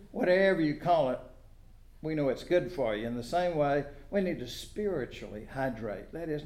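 Higher-pitched American preacher in an echoey room. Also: male voice, a little mellow. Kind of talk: sermon. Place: church sanctuary during a service.

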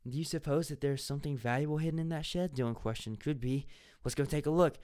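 A young man reads a cheesy children's mystery aloud with mock drama, throwing in sarcastic asides. His voice sits a bit high for a man and comes through smooth and clear. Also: fast, a little mellow. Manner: sarcastic, laughing at the text. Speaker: reader of a children's mystery book.

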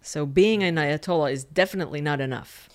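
A woman's voice: low-pitched, a little fast. A woman guest expert on Iran, answering interview questions in an explanatory, correcting way with frequent restarts.

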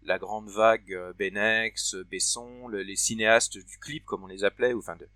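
A man's voice: lowish voice, fairly even intonation, normal speed, sharp tone, no room sound. A man in his forties co-hosting a casual conversation, talking hesitantly with many fillers and restarts. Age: 40s. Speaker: podcast co-host.

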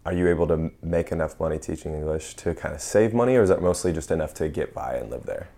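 A man hosting a travel podcast, reading a scripted intro and then interviewing a guest in a measured way. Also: very deep voice, warm tone. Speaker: podcast host.

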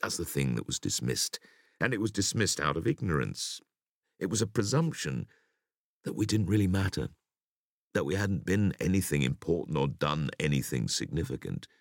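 A man reading an interview essay for an audiobook in a measured, emphatic way with deliberate pauses. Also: a bass voice, sing-song, creaky, no room sound, clean studio sound. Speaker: audiobook reader.

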